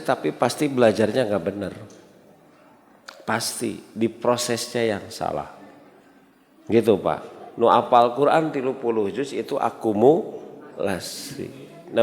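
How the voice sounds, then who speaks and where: A man with a Sundanese accent preaching to a congregation in a didactic, conversational way, repeating key words and asking rhetorical questions. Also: fairly low pitch. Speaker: Islamic preacher. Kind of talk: sermon to a congregation, conversational teaching. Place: mosque hall. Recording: echoey hall with long reverb.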